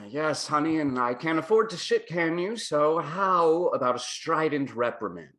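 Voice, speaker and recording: Actor doing a fussy sardonic dance coach, theatrical, pitch goes all over the place. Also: lively intonation, a little slow, a bit bright. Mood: exasperated, withering. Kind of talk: screenplay table read acting.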